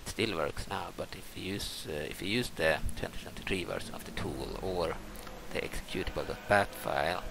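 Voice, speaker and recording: A man with a Swedish accent giving casual, unscripted game commentary in a halting, hesitant way with many pauses. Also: deep voice, creaky, noisy background. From Sweden, adopted from Brazil. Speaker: game modder and video maker. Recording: music behind the voice. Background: music.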